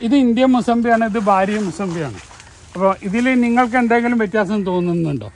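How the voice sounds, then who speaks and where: A man from Kerala giving a casual tour of his plant nursery, talking in an animated, argumentative way and asking rhetorical questions. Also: high-pitched, fast, slight background noise. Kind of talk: casual garden tour talk.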